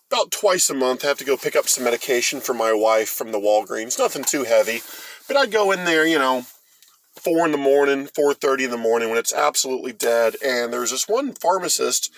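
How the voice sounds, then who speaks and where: A middle-aged man with an American accent, telling a story casually in a halting, rambling, folksy way. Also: medium pitch, expressive, a bit bright, a little hiss or hum. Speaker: host of a storytime vlog.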